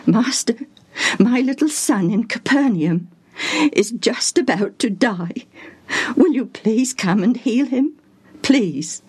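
A woman's voice: on the high side, expressive, unhurried, breathy edge, pitched above the performer's own voice, exaggerated voice. A woman voicing a desperate middle-aged father, a royal official, speaking in a quivering, pleading, urgent way. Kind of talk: storybook reading.

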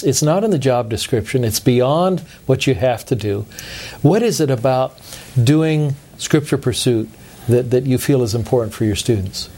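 A man's voice: medium pitch, mellow. A man hosting a studio talk show, asking his guest questions in a friendly, conversational way.

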